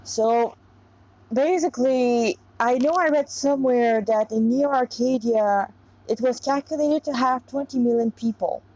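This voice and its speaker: A woman's voice: a little high, measured pace, mellow. A woman reading a story aloud informally and expressively, with casual asides, stumbling now and then over the text. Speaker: narrator reading own story. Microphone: clip-on lapel mic. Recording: dull, lo-fi sound.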